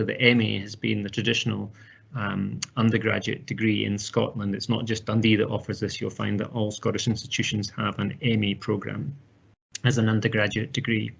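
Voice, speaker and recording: A man's voice: lowish voice, gravelly, breathy edge, dull audio. A man giving an open day presentation as a university lecturer, formal, with frequent restarts. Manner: formal, with repeated words and restarts.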